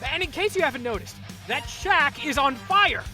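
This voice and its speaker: A man's voice: extremely high pitch, expressive, moderate pace, sharp tone, soft background music, exaggerated voice. A man playing an eager, brash young guard recruit in a fantasy audio drama, with a cheeky manner. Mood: alarmed.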